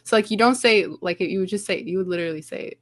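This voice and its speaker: A woman's voice: mid-range voice, fast, no room sound. A woman from Romania chatting casually as a sports show co-host, in loose phrasing peppered with "like". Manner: chatty, hesitant phrasing with many "like"s.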